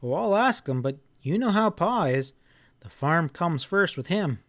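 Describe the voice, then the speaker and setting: Man as an earnest farm boy, raised pitch. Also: lively intonation, measured pace, a bit bright. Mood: earnest, a little doubtful.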